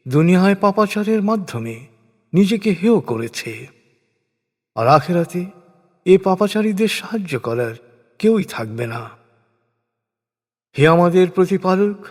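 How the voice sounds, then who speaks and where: A man reading a scripture translation as a solemn scripted recitation, measured and declamatory, with deliberate pauses between phrases. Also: high voice, darkish tone. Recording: long cavernous reverb.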